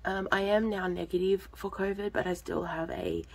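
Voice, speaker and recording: A woman's voice: fairly low pitch, very animated, moderate pace, gravelly. A woman, talking casually to her viewers, congested and coughing, her throat a bit off, rambling with lots of ums. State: lingering cough and congestion after illness. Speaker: vlogger, budgeting channel host.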